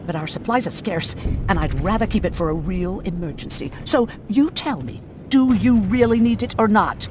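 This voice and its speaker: Woman acting a sardonic, animated doctor, noisy background. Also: medium pitch, normal speed, natural voice. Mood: sardonic, challenging. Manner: sarcastic, curt. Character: curt, sardonic female doctor guarding scarce supplies. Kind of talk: cinematic video game acting.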